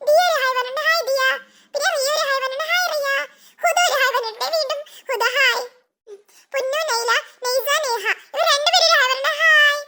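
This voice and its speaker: A woman hosting a cartoon channel, giving casual, chatty shout-outs to viewers in a repetitive greeting pattern. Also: very high-pitched, quick pace, pauses often.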